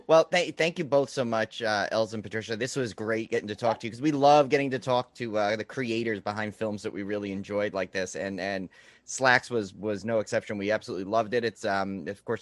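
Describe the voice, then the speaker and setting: A man's voice: fairly low pitch, dry recording. Casual man co-hosting a podcast, close dry sound. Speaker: podcast co-host. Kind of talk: casual chat about horror films.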